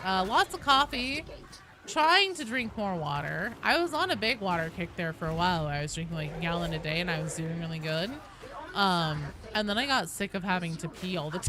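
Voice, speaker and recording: A woman hosting a game livestream, chatting casually with viewers in an animated, sweary, self-deprecating way. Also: mid-range voice. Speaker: livestream host. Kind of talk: casual game-stream chat, answering viewer comments. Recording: clear background noise behind voice.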